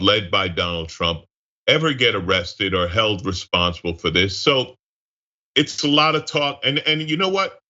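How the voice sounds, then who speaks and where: Low, forceful male political commentator. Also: muffled recording. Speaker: guest commentator.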